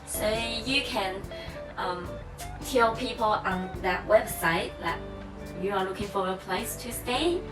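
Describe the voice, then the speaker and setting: A young woman vlogging to her viewers in an unhurried, chatty way, full of little fillers and encouragement. Her first language is Mandarin, and her speech stays calm and slow. Music plays clearly behind her. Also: mid-range voice, poor audio. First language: Mandarin Chinese. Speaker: travel vlogger. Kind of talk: casual vlog talk to camera.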